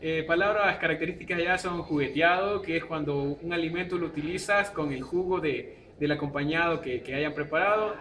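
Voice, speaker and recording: A man with a coastal Ecuadorian accent talks casually about his speech. His voice is bright and slightly high, and he pauses often with fillers. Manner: hesitant, with fillers and restarts. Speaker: interviewee. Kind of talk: casual explanation.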